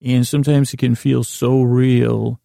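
A male podcast host with a soft, dark tone and a gravelly fry rambles through an improvised bedtime monologue. His pitch stays in a narrow band as he halts, corrects himself and wanders off into self-deprecating asides. The sound is close and dry. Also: flat delivery, no echo. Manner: halting and meandering, trailing off into tangents and self-corrections.

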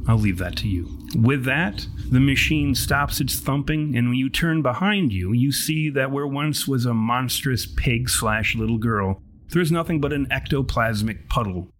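A man's voice: mid-range voice, a little hiss or hum. A man working as game master, narrating a horror tabletop game in grandiose, wordy, wry language. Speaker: podcast writer and artist.

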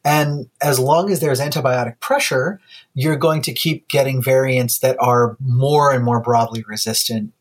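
A man's voice: mid-range voice, fairly even intonation, moderate pace. A man co-hosting a casual science podcast, explaining infections in a loose, laughing way with frequent restarts. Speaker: podcast co-host.

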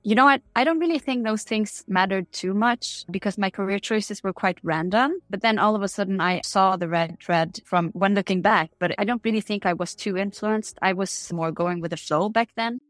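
A woman's voice: mid-range voice, moderate pace, hardly any pauses, no room sound. A woman from Norway with a Norwegian accent, answering interview questions in a candid, chatty way. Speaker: interview guest.

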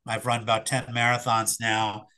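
Bright-voiced man, a bit hoarse. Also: lowish voice, monotone, moderate pace. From Philadelphia area. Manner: reflective, hesitant with fillers and restarts. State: hoarse after covid. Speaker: sports psychologist guest. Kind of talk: casual interview answers.

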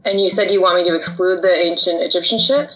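An actress playing a blunt, skeptical underground internet researcher, talking casually and dryly in audio drama dialogue. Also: female voice, fairly low pitch, fast, fairly bright tone, natural voice. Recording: dull internet-call audio. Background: faint music.

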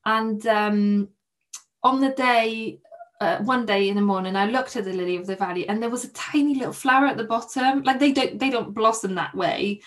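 Young woman, polish, english as a second language, soft hesitant storytelling, podcast guest. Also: a little high, moderate pace. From Poland. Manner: soft-spoken, hesitant with many restarts and fillers. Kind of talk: emotional personal storytelling.

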